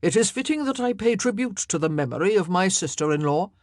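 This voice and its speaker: A woman's voice: medium pitch, lively intonation, brisk, darkish tone, no echo, voice pushed up, lightly acted. A woman voicing a self-pitying middle-aged king, soothing one moment and icy the next, in a dry, close recording. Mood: regal.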